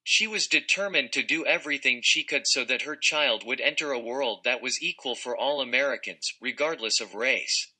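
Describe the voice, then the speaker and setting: A man narrates a written text in a scripted read-aloud style. His voice is very bright and crisp-edged, with a forceful delivery at an average pitch. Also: normal speed, hardly any pauses, rough recording. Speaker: narrator reading an article.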